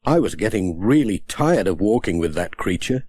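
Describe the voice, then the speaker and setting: A man acts out a mild country postman and dad for a children's audiobook. He is hesitant and apologetic, with a lowish, lively voice that moves up and down a good deal. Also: normal speed, husky. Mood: weary, relieved. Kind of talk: audiobook character acting.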